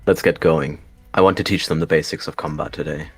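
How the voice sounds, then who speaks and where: A man's voice: very deep voice, fairly even intonation, a little fast, creaky, husky, no room sound, faint music behind, full character voice. A man voicing a stern, intense warrior cat mentor who gives commanding instructions in a roleplay game. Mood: stern, instructive.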